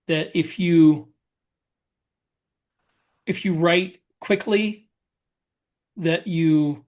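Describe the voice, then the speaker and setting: A male podcast co-host with a notably high voice. He explains things casually, pausing often and feeling carefully for the right word.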